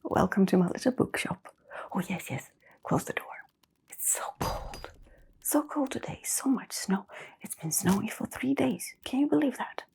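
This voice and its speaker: Casting: woman as gentle, welcoming bookshop keeper for ASMR storytelling; whispered, creaky, murmuring delivery. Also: fairly high pitch, lively intonation. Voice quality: soft breathy whisper with creak. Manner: unhurried, murmuring, pausing with little hums.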